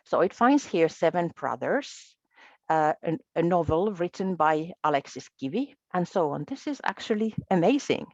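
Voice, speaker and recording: Low-voiced woman from Finland explaining software. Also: expressive, moderate pace, dry recording, dull audio. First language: Finnish. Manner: explanatory, methodical, with small restarts. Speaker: presenter. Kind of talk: software demo walkthrough.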